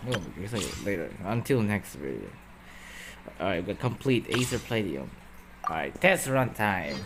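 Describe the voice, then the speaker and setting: A man's voice: fairly low pitch, very animated, normal speed, noisy background. A man hosting a gameplay video with casual commentary in short, clipped remarks. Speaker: gaming video host. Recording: loud game music over voice. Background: game music.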